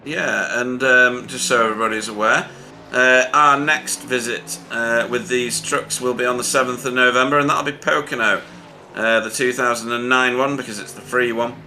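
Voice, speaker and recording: A man working as a sim racing commentator, chatting casually and haltingly as he interviews drivers over online voice chat. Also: mid-range voice, steady tone, gravelly, sharp tone, a little hiss or hum, poor audio. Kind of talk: casual post-race interview.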